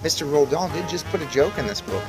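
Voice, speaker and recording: A man's voice: a little high, expressive, quick pace, music in the background. A man reading aloud and chatting enthusiastically as host of a children's read-aloud. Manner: chatty and enthusiastic, putting questions to young listeners. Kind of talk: storybook read-aloud with casual commentary.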